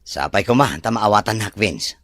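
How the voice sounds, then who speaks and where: Husky, humble young suitor, acted by a man. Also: lowish voice, lively intonation, moderate pace, a bit bright, dry recording. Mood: apologetic, firm. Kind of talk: Ilocano romantic audio drama acting.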